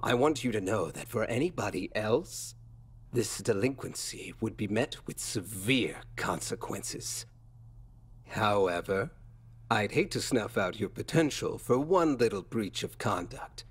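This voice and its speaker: A man playing an unctuous, quietly threatening school official, speaking formally and theatrically in a video game. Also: fairly low pitch, expressive, husky. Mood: veiled threat. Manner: formal, measured, insinuating.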